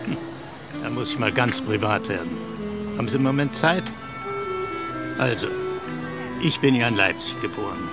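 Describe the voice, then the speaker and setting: A chatty, sentimental older husband from Saxony in a radio play, in his sixties. His voice swings lively up and down and has a gravelly edge. Loud music plays under him. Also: on the low side, normal speed, long pauses. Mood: confiding. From Saxony, Germany. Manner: chatty, confiding. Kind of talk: radio drama acting.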